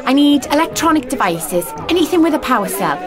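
An actress playing a practical, down-to-earth young scavenger in an audio drama, informal and matter-of-fact. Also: female voice, high voice, very animated, brisk, a crowd in the background. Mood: harried, coaxing.

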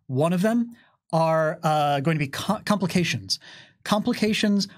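A man's voice: a little high, lively intonation, unhurried. A man in his thirties co-hosting a game demonstration, explaining rules in a casual, conversational way. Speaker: game developer and co-host demonstrating tabletop rules. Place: small curtained studio, seated at a table. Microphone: microphone on a boom stand.

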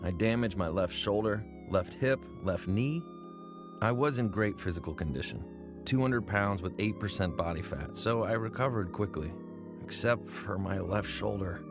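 A man's voice: fairly low pitch, moderate pace. A man earnestly narrating his own life story in first person for a radio drama. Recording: music bed under the voice.

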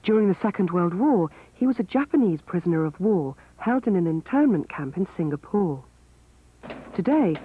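Guy reading documentary narration, measured and formal, tinny phone-like sound, faint hiss. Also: high-pitched.

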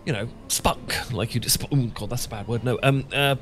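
A man's voice: medium pitch, fairly quick, fairly bright tone, a little hiss or hum, close to an everyday voice. A man with a British accent playing an awkward, sentimental English professor in a romantic ASMR roleplay, stammering and hesitant. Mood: flustered. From Britain. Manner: stammering, hesitant, full of false starts. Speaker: audio roleplay performer.

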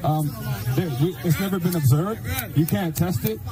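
A man's voice: sits high, moderate pace. A man preaching on the street, insistent and pressing, often stammering and repeating his words. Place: outdoors on a street. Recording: clip with music playing over it.

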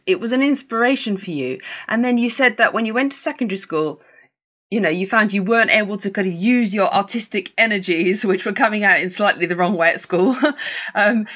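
A woman's voice: mid-range voice, a bit bright. Woman, thirties, video call interviewer, encouraging, recaps the story. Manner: encouraging, summarising questions. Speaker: interviewer host.

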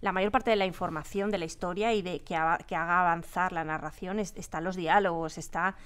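A woman's voice: lowish voice, steady tone, fairly quick, fairly bright tone, clear, resonant tone. A woman with a Spanish accent from Spain talking casually in an interview, explaining emphatically and repeating words as she searches for phrasing. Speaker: audio drama producer and sound designer, interview guest. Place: radio drama studio.

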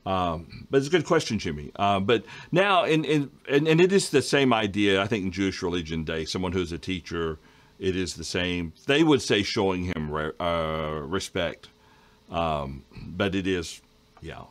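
Low-voiced man hosting a Bible study. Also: animated, normal speed. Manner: conversational, frequent throat clearing. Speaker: host reading viewer questions. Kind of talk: casual Bible study discussion. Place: home study with bookshelves. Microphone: video call.

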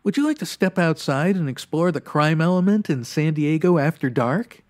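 An actor playing a stern, humorless fifties police sergeant, clipped and dry, in old-time radio drama style. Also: male voice, fairly high pitch, expressive, moderate pace, darkish tone, natural voice.

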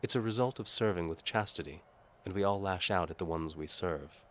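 A man's voice: low-pitched, a little fast, a bit bright, pitched above the performer's own voice. A man voicing a proud, scheming young noblewoman with a haughty, insistent manner in an audio drama. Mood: cool, knowing.

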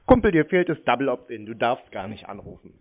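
A man's voice: mid-range voice, big pitch swings, fairly quick, phone-quality sound, a crowd in the background. A man in mocking phone banter with callers, answering in blunt, terse, taunting phrases. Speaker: prank-taker of scam calls.

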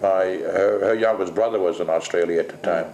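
An older man originally from the Netherlands, being interviewed at home and talking bluntly, with plenty of swearing and pauses. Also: deep voice, darkish tone, echoey room, a little hiss or hum, poor audio. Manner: blunt, salty, rambling with many pauses. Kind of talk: casual interview. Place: kitchen at home, indoors.

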